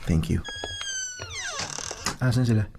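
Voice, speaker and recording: A man's voice: lowish voice, takes it slowly, gravelly, noisy background. A man playing a young boyfriend in a radio drama, pleading and stubborn, with music behind him. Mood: tentative, pleading. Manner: coaxing, argumentative. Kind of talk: radio drama acting in Tagalog.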